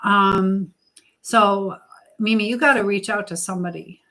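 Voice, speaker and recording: A woman hosting a live chat, speaking earnestly and haltingly with pauses as she gives advice and encouragement to viewers. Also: mid-range voice, sharp tone, slight background noise, rough recording. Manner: religious encouragement. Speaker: live stream host.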